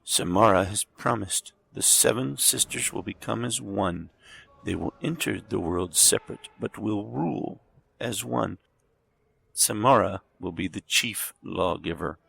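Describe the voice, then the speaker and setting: An actor playing a smug, fanatical villainous doctor in an audio drama, with a gravelly, husky voice and a slow, pompous, menacing delivery. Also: male voice, fairly low pitch, pushed and bright, full character voice. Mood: gloating, fanatical. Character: fanatical villainous male doctor, smug devoted servant of a demon.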